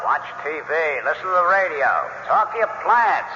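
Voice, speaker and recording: Heavy reverb surrounds a callous husband in an eerie radio drama flashback. His voice is high and forceful, with curt, dismissive delivery in a seventies style. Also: animated, normal speed. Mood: scornful. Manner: curt, scornful. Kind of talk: 1970s radio drama acting. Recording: echoing reverb, dull old radio recording.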